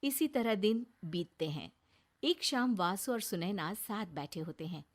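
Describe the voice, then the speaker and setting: A woman's voice: mid-range voice, lively intonation, measured pace. A woman narrator reading a scripted moral story for a cartoon.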